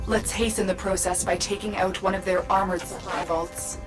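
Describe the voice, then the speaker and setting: A woman playing a commanding, stern military mission handler in a video game, brisk in manner. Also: little pitch movement, lightly acted, low-quality recording. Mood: stern resolve. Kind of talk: video game briefing acting. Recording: loud music and rumble behind.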